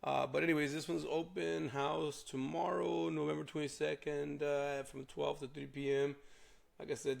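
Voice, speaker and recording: Hoarse, gravelly man rambling casually. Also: on the high side. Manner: rambling, filler-heavy. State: hoarse from a cold. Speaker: realtor. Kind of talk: casual vlog walkthrough.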